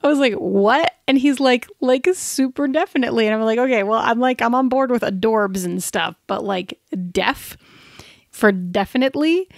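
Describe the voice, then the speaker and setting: A woman in her thirties chatting casually as a podcast co-host, giggly and animated in her storytelling. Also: on the high side, moderate pace, no room sound, studio quality. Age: 30s. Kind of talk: casual chat.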